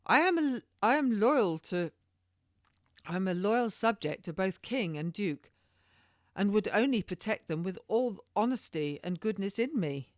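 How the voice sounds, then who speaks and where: Woman playing a defiant accused gentlewoman, raised pitch. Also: animated, a little slow, no echo, studio quality, lightly acted. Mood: indignant. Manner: indignant, formal archaic speech.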